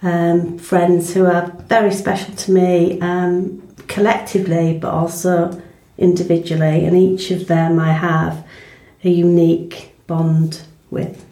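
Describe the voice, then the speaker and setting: A woman with a Yorkshire accent opens the podcast in a halting way, full of fillers. Her voice sits a little low, and an echoey room surrounds it. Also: level tone, mellow. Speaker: host. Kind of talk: podcast introduction.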